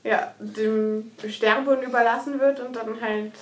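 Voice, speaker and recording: A woman's voice: on the high side, fairly even intonation, measured pace. A woman co-hosting a casual chat recapping a TV episode, arguing her critical points through. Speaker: podcast co-host. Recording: roomy echo with background noise.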